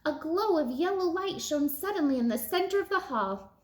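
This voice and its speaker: A woman reading a children's story aloud in expressive narration. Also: very high-pitched, normal speed, roomy sound. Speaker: storybook reader.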